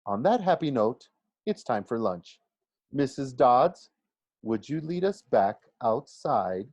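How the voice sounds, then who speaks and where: A man's voice: mid-range voice, very animated, takes it slowly, darkish tone, no echo, dull audio, pitched above the performer's own voice, full character voice. A formal, patiently stern male schoolteacher from a storybook, acted by a man reading aloud. He questions and lectures with dignity, in a lifted voice with a dry, close sound. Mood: lecturing.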